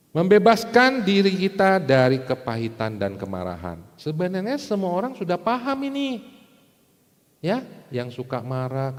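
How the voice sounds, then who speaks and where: A man preaching a sermon to a congregation in a conversational teaching way, often putting rhetorical questions to his listeners. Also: mid-range voice. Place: large indoor church hall. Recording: echoey hall with long reverb.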